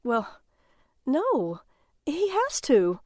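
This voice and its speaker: A woman's voice: high voice, huge pitch swings, drawn-out words, darkish tone, no room sound, studio quality, heavily acted. A woman playing a weary, lonely mother of a troubled boy in an audio drama. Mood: weary, defensive.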